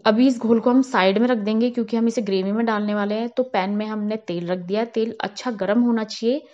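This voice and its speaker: A woman with a fairly high voice narrates a home cooking tutorial, explaining each step in a calm, instructional way. The voice-over sounds a little dull and lo-fi. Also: fast talker. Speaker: cooking channel host. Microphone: voice-over, not on camera.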